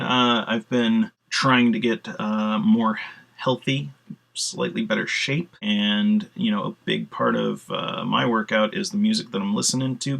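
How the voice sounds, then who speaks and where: Casual male vlogger, mid-range voice with some gravel, rambling and filler-heavy, swears easily. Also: medium pitch, a bit bright. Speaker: video blogger. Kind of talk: casual solo vlog talk.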